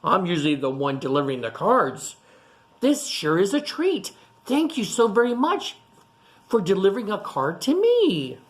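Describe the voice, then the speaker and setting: A woman's voice: mid-range voice, big pitch swings, normal speed, close to an everyday voice. A woman reading the part of a cheerful, grateful female mail carrier in a children's storybook, exclaiming with appreciation. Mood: delighted, grateful.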